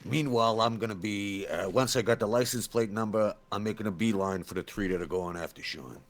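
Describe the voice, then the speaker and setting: A man's voice: on the low side, brisk. A man talking casually as a player in a tabletop roleplaying game.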